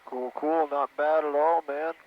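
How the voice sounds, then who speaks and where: A man's voice: on the high side, fairly even intonation, measured pace. A man working as a flight instructor, coaching casually and encouragingly over a headset in a small airplane cockpit. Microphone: aviation headset intercom. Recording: muffled cockpit intercom over engine noise.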